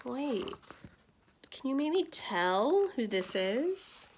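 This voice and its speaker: A woman's voice: medium pitch, big pitch swings, slow, slight background noise. A woman reading a picture book aloud as a storyteller, putting questions to her listeners.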